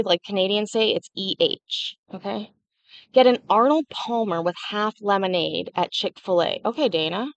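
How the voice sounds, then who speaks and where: A woman's voice: medium pitch, fairly bright tone, dry recording, highs cut off. A young woman hosting a casual livestream, chatting freely and answering viewers' comments as they come in. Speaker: livestream host.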